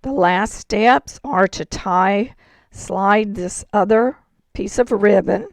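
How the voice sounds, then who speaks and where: Hoarse woman with a gravelly voice teaching crafts. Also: medium pitch, slow pace, no echo, studio quality. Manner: chatty, step-by-step instruction. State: hoarse, throat-clearing. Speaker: card-making instructor. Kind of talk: crafting tutorial.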